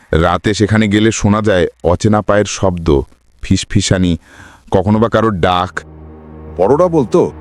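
A man narrates a ghost story as a dramatic scripted reading. His delivery is suspenseful and expressive, with lively movement in pitch that carries the tension. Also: dry recording.

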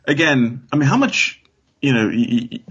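A man's voice: mid-range voice, expressive, normal speed, a bit bright, breathy edge. A man chatting casually as a podcast guest, hesitant and thinking aloud, on dull lo-fi call audio. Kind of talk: casual fan discussion.

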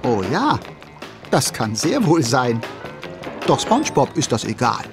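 A man's voice: medium pitch, very animated, unhurried, music in the background. A man playing a wry, playful narrator who addresses listeners in a German children's audio drama. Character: wry, chatty male storyteller.

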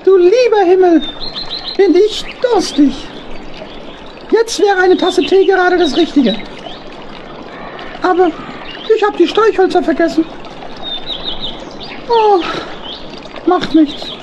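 A woman's voice: extremely high pitch, slow, pauses often, a little mellow, muffled recording, a little hiss or hum. A woman voicing a small, sad male hedgehog in a children's audio play, plaintive and dramatic. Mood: weary.

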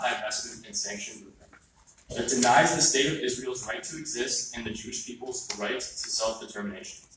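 A young man, a college student, giving a measured, earnest prepared statement at a public meeting. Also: medium pitch, noisy background. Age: young adult. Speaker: student speaker at public comment. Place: large meeting hall, heard from the audience. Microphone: phone recording from far back in the room. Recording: very echoey hall from far off.

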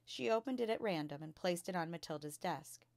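A woman reading a children's story aloud as a storytime reader, with clear, expressive delivery. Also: fairly low pitch, fairly quick, dry recording. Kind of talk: children's book read-aloud.

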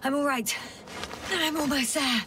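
A woman playing a determined space scientist stranded on a planet, measured and breathy in a dramatic game performance. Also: high voice, bright, forceful tone, distant mic, slight background noise.

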